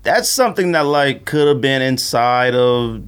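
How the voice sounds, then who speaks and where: A man's voice: mid-range voice, animated, a little slow, fairly bright tone, clear, resonant tone. A man hosting a podcast in casual, slangy, conversational talk. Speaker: podcast host. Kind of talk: casual sneaker podcast chat.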